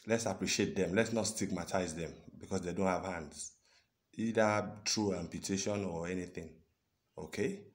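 A man's voice: low-pitched, a little mellow. A man in his thirties from Nigeria with a Yoruba-tinged Nigerian accent, chatting earnestly to his phone camera in a small room and often checking in with "okay?". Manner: chatty, earnest, drawn-out words and frequent "okay?". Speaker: vlogger. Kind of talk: casual selfie vlog talk. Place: indoors, small room with curtains. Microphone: phone at arm's length.

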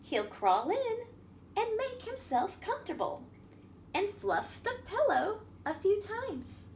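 A woman with a very high, swooping voice reads a picture book to young children. She takes her time, in the sing-song manner of a library storytime host. The room around her is echoey. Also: wildly swooping pitch, slow, a little mellow. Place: indoor room at a wooden table by a window. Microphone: camera across the table. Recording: echoey room with background noise.

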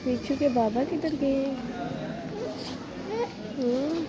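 A woman's voice: high-pitched, slow pace, muffled recording. A woman filming a family shopping trip in a big indoor hypermarket on a handheld phone, calling after and coaxing small children. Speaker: vlogger and mother. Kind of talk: casual family vlog chatter. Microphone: handheld phone camera. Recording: loud store noise behind.

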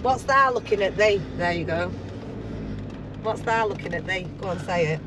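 A woman's voice: medium pitch, moderate pace, background noise. A young woman bantering cheekily with her co-host in a car. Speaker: prank show co-host. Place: inside a car.

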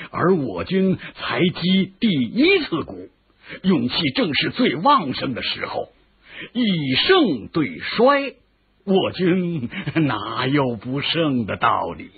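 A gravelly, husky strategist. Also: male voice, fairly high pitch, huge pitch swings, slow pace, heavily acted. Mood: explaining. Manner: patient, lecturing explanations. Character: shrewd male military strategist, calm and explanatory. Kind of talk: radio drama acting. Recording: tinny phone-like lo-fi audio.

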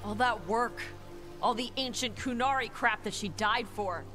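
An actress playing a young horned warrior in a video game, bitter and grieving but determined. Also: female voice, fairly high pitch, moderate pace, lightly acted. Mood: anguished. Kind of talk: video game dialogue acting. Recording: game dialogue with music behind.

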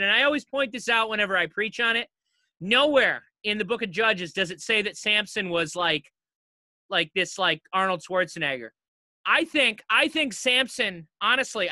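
A man hosts a podcast in a casual, playful way. His voice is high and very bright, with a dry, close sound from a home setup. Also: no room sound. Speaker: podcast host. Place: home basement.

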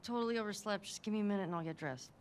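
A woman's voice: medium pitch, rapid-fire, a little hiss or hum, lightly acted. An actress playing a guarded, blunt young American woman, a survivor in a naturalistic video game. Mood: awkward. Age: young adult. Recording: faint game ambience behind dialogue.

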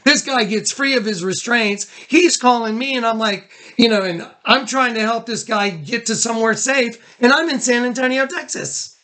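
Man, American accent, high voice, agitated, insistent, repeats himself, recorded phone conversation. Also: moderate pace, few pauses, sharp tone. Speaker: caller, YouTube channel owner.

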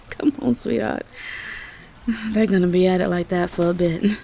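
A woman playing a tender, easily overwhelmed Southern belle girlfriend in a roleplay audio drama, with a Southern US accent and a halting, breathy delivery. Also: on the low side. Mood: amused, touched. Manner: soft, halting, repeats words.

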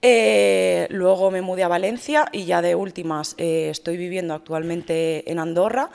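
A woman's voice: fairly low pitch, fairly even intonation, normal speed, creaky, darkish tone, clear, resonant tone. A woman, chatting as an interview guest with many fillers, into a studio mic on a stand. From Madrid, Spain. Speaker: guest, fitness influencer. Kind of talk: casual interview talk. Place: large gym hall. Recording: reverberant hall.

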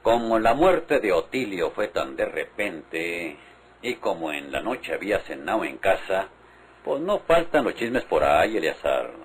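An actor playing a sly, powerful Mexican country boss in a vintage radio drama, folksy and insinuating, with menace under the friendliness. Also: male voice, deep voice, very animated, unhurried, breathy edge, exaggerated voice. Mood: veiled menace. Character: sly, powerful rural patron, falsely friendly, veiled menace.

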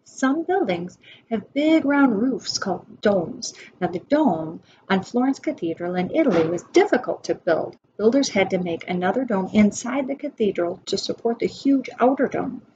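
A woman's voice: mid-range voice, expressive, normal speed, darkish tone. An American woman in her forties reading a children's nonfiction book aloud as a preschool teacher, instructive, with asides to her listeners. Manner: instructive, asks listeners questions. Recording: dull lo-fi webcam sound, background noise.

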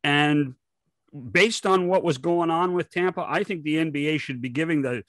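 A man's voice: on the high side, normal speed, a bit bright, no echo. A man in his sixties co-hosting a sports debate, insistent and interrupting, speaking into a close desk microphone. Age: 60s. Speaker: podcast co-host. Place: indoor study, video call. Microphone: desk microphone close to mouth.

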